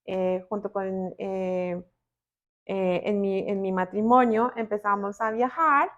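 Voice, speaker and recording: A middle-aged woman with a Colombian accent, talking reflectively and deliberately, with pauses, as a podcast guest. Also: mid-range voice, steady tone, highs cut off. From Bogotá, Colombia. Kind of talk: reflective personal interview talk.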